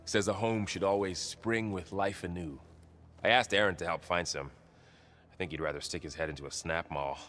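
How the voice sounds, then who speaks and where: A young soldier and loyal friend in a video game. His voice is deep, with a gentle, thoughtful delivery. He comes across as kind and easygoing. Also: steady tone, moderate pace. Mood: wry, amused. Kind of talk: video game cutscene acting.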